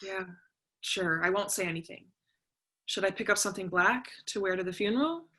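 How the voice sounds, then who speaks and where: A woman's voice: medium pitch, brisk, bright, forceful tone, close to an everyday voice. A woman playing a grieving, defiant eighteen-year-old American daughter in a play reading, emotional and argumentative. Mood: sullen.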